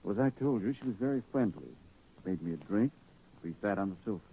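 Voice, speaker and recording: Stiff political man, self-important, curt, guarded, lowish voice, 1940s radio drama, dull recording. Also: animated, quick pace, breathy edge. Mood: smooth, cautious.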